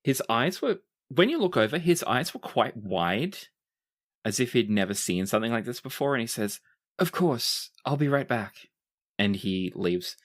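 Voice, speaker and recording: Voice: medium pitch, big pitch swings, moderate pace. A game master narrating scenes and characters in a tabletop roleplaying game.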